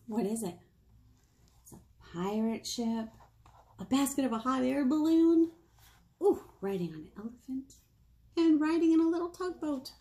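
A woman in her thirties, a children's librarian, reading picture books aloud in a lively, sing-song way with whispers, recorded close on a webcam in a small room. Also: sits high. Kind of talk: storytime read-aloud for children.

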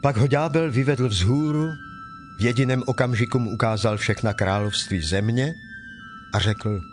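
A man narrating scripture from a script in a measured, formal manner. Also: medium pitch, music in the background.

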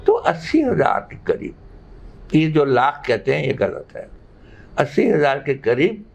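Slow, gravelly older man. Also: a little high, sing-song. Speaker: interview guest. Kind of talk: unscripted interview answers. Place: living room indoors. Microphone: lapel mic. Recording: slightly muffled sound.

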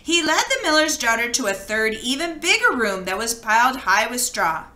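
A woman reading a children's storybook aloud with expressive, dramatic storytelling. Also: on the high side, moderate pace, roomy sound. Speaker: children's book read-aloud reader.

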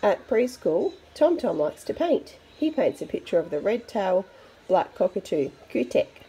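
A woman reading a picture book aloud to young children in an expressive, chatty way. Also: medium pitch, measured pace, slightly rough, poor audio. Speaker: storybook reader for children.

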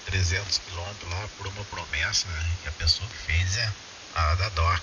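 A man's voice: low-pitched, steady tone, normal speed. A Brazilian man chatting casually, his voice carried by a shortwave ham radio transmission. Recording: distant, muffled, hissy shortwave radio.

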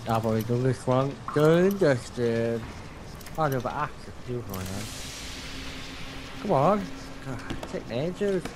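A man talks casually while gaming with a partner. His mid-pitched voice sits among very loud game sounds and ambience. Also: animated, takes it slowly, long pauses. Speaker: co-op gamer. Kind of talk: casual gameplay chat. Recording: loud game noise behind.

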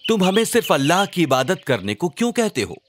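Storybook doubter, male narrator acting: defiant, mocking villager, slightly raised and very expressive, faint noise behind. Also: sits high, a little fast, voice pushed up. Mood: challenging, mocking. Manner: challenging, scornful. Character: defiant, mocking idol-worshipping tribesmen challenging a prophet. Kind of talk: storybook character quotes.

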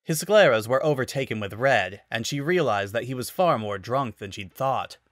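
Male narrator for dramatic fiction reading, mid-pitched, very dry recording. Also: animated, normal speed, a bit bright, no room sound.

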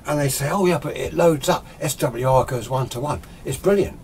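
A man's voice: medium pitch, moderate pace, darkish tone. A British man in his sixties giving an informal, unscripted explanation in a conversational, emphatic way, often asking rhetorical questions. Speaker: amateur radio enthusiast presenting a tutorial. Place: small indoor radio workshop full of equipment. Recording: clear background room noise.

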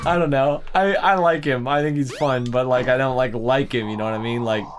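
A man's voice: mid-range voice, a little fast, a little hiss or hum. A young man chatting casually as co-host of a gaming video, playful and dryly bantering. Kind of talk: casual chat over gameplay. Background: video game music.

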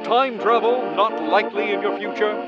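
A man narrates a vintage radio promo like a salesman. His voice is high. Loud organ music plays behind, and the sound is dull and lo-fi. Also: steady tone, moderate pace.

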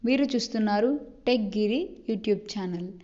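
A woman reading a scripted channel intro with a bright, upbeat greeting. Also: medium pitch, moderate pace, dull audio.

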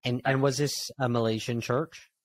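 A man's voice: mid-range voice, steady tone, normal speed. A man hosting a podcast from a studio mic, prompting his guest with friendly questions. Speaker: podcast host. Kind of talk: casual interview. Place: studio with lit panels. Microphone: studio boom mic.

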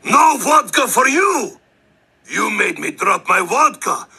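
An actor playing a brutish, angry mutant rhino henchman with a rough, gravelly voice and a Russian accent. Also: high voice, sing-song, takes it slowly, pushed and bright, exaggerated voice. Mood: furious.

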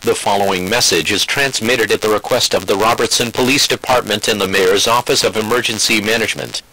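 A man's synthetic voice delivers a scripted emergency bulletin. It sits slightly low, with a bright, forceful tone. The delivery is formal and impersonal, like an automated alert announcer. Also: brisk, dry recording, low-quality recording.

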